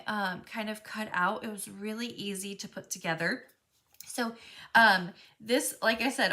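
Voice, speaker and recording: Woman, craft instructor, live class, stuffy froggy voice, bright tone, chatty with ums. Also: fairly high pitch. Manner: chatty, friendly, frequent ums.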